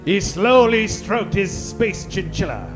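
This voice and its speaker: A man's voice: high-pitched, sing-song, takes it slowly, over music, exaggerated voice. A man narrating in a theatrical, suspenseful old-time radio serial style.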